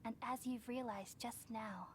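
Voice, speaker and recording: A woman voicing a gentle, wise, selfless goddess in a video game, speaking in a solemn and measured way. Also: a little high, steady tone, slight background noise, lightly acted. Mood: calm, resigned. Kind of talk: scripted video game voice acting. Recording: game cutscene with music behind.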